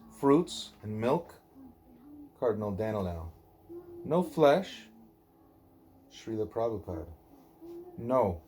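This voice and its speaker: A man reading a devotional dialogue aloud in measured, deliberate phrases. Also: medium pitch, darkish tone, a little hiss or hum. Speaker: reader of a devotional book; parent reading aloud at a child's bedtime.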